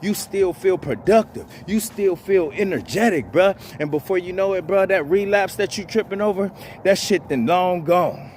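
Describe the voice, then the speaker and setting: A man's voice: high voice, moderate pace, darkish tone, a little hiss or hum. A man giving blunt, profane motivational advice straight to his viewers, repeating himself and calling them "bro". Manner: blunt, profane, repetitive, calls listeners "bro". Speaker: channel host giving advice.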